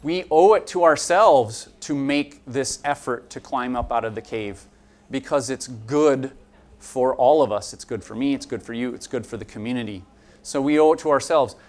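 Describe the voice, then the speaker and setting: A young man, a college instructor, giving an earnest, explanatory lecture to students and gesturing as he talks. He is picked up by a camera from across a conference room. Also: medium pitch.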